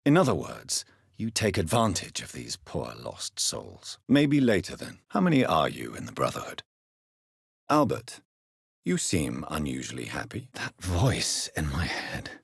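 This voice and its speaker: An actor playing a grave, refined British doctor turned vampire in a video game, speaking formally with measured, precise diction. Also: male voice, very deep voice, huge pitch swings, vocal fry, husky, no room sound, studio quality, full character voice. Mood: inquiring, measured, then brooding, resolute. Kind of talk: video game character dialogue.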